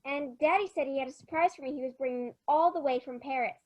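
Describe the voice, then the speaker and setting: A high-pitched little girl in a radio play, voiced by a female actor, eager and anxious for her parents. She is plaintive and keeps insisting. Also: quick pace, muffled recording, lightly acted. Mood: pleading, insistent. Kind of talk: old-time radio drama acting.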